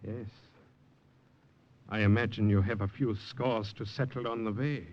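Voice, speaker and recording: An actor playing a confident, insistent partisan leader in a 1950s radio drama, speaking with brisk assurance. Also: male voice, on the low side, steady tone, a little mellow, close to an everyday voice. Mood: urgent, assured. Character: urgent, confident partisan leader rallying a rescued spy. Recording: muffled noisy vintage radio recording.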